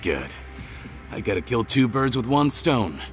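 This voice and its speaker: An actor playing a smug, ruthless fugitive crime boss in a video game, with a mocking, theatrical sneer. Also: male voice, mid-range voice, big pitch swings, a little slow. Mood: smug, menacing. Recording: game music behind the voice.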